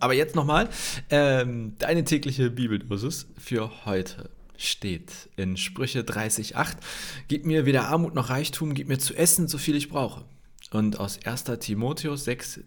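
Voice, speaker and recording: A man with a slightly nasal cold hosting a casual devotional livestream, rambling loosely with many fillers and restarts and reading Bible verses to his viewers. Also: mid-range voice. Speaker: host of a daily Bible livestream.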